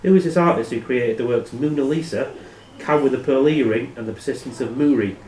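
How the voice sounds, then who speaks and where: A man hosting a quiz as quizmaster, reading questions aloud in a plain, matter-of-fact way and giving brisk rulings. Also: medium pitch, a little mellow, a little hiss or hum. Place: live in a pub. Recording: echoey room, distant microphone.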